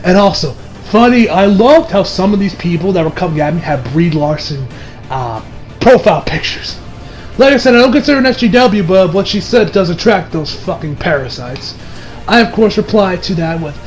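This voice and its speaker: A man giving a casual, rambling review in an informal, excitable, sweary way. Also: high voice, brisk, faint music behind, poor audio. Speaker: YouTube movie reviewer.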